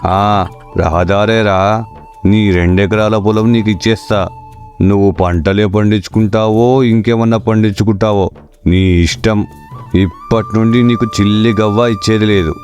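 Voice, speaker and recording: Man playing a stern, low-voiced farmer elder brother. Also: fairly even intonation, unhurried, darkish tone, soft background music, lightly acted. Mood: fed up. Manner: scolding, blunt. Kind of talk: comic Telugu moral-story voice acting.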